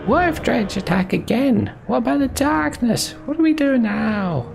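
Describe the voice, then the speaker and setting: Man voicing a high-pitched, panicked townswoman. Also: animated, normal speed, vocal fry, faint music behind, exaggerated voice. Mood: frantic. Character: panicked townswoman survivor, shouting questions.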